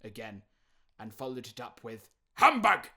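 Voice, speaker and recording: Male narrator, classic fiction audiobook, dramatic scripted delivery, lively varied intonation, mid-pitched. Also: normal speed.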